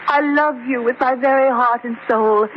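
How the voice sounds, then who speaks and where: An actress playing a vain, devoted wife in distress in a campy horror radio drama, pleading and overwrought. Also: female voice, sits high, fairly even intonation, normal speed, close to an everyday voice. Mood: solemn devotion. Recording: dull, faintly noisy old radio recording.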